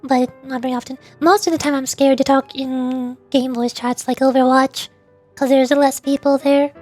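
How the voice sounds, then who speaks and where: A woman streamer with a high voice chats casually while she plays a game. She talks in a hesitant, self-deprecating way, often halting mid-thought. Game music plays softly behind her. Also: little pitch movement. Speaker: livestreamer, VTuber voice actor. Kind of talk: casual livestream chat while playing a game.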